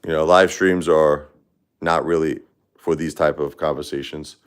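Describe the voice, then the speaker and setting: A man's voice: rumbling low, steady tone, moderate pace, smooth. A man from Brooklyn, talking casually on his livestream, rambling with repeated words and answering chatters by name. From Brooklyn, New York. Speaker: livestream host. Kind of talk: casual livestream chat with viewers.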